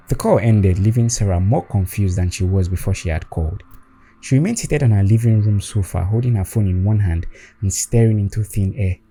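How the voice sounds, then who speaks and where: A man's voice: fairly low pitch, dark tone, breathy edge. A man narrating a scripted spooky story in a dramatic storytelling manner. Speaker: storyteller, host.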